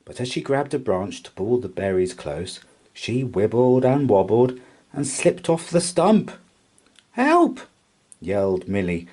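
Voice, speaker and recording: A man narrating a children's bedtime story aloud in an expressive read-aloud style. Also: on the low side.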